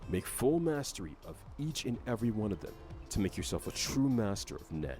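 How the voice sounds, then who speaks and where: A man's voice: fairly low pitch, big pitch swings, brisk, darkish tone. A man delivering scripted explainer narration for a video game update. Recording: faint music under narration. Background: faint music.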